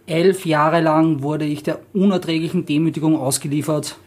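Man, slightly high, hesitant. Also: steady tone, normal speed, darkish tone, slightly rough. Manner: hesitant, many fillers when speaking freely. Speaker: book presenter. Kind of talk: casual introduction and alternating reading aloud.

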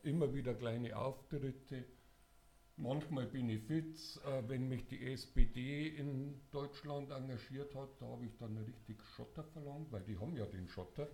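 An older man, hoarse, speaking casually and haltingly to a live audience as a singer-songwriter. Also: mid-range voice, mellow, slight background noise. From Bavaria, Germany. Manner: halting, many filler sounds. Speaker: singer-songwriter introducing an event.

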